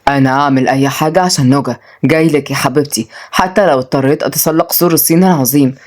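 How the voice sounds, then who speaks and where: A woman voicing a lovestruck, excitable Egyptian grandfather in a storybook, earnest and dramatic. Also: sits low, rapid-fire, pitched below the performer's own voice. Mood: determined. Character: lovestruck, excitable grandfather, sporty and easily flustered.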